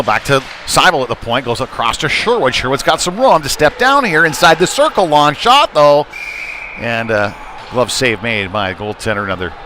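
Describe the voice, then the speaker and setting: A man doing live play-by-play ice hockey commentary in short, halting, conversational phrases. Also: medium pitch. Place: indoor ice rink. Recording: noisy live arena stream, rink ambience. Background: clear rink noise and ambience.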